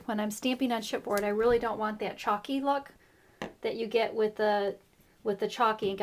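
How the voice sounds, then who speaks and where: A chatty woman hosting a craft tutorial at her work table, her voice pitched a touch high and slightly rough. She explains each step in a relaxed, friendly way with plenty of fillers. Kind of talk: casual stamping tutorial.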